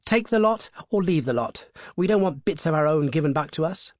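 A man voicing a bold, sharp-tongued young actress in an audiobook, speaking briskly and cheekily. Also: fairly high pitch, animated, breathy edge, dry recording, clean studio sound, pitched above the performer's own voice. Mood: defiant. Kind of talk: audiobook character voice.

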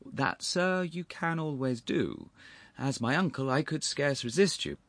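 Man playing an affable, impudent young gentleman, lively. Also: on the high side, measured pace, dry recording, voice pushed up. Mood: affably obstinate. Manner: smooth, courteous, easy conversational cheek. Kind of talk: audiobook character voice.

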